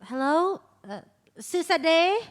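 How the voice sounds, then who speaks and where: A woman's voice: very high-pitched, very animated, slow, full character voice. A woman playing a curious young woman, a classical dancer reborn, in a table read of a stage play.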